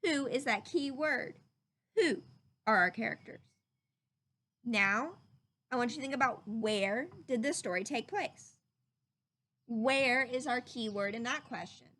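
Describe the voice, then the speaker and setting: A woman reading a story aloud to children like a teacher, with expressive, dramatic emphasis. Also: a little high, a little slow, long pauses.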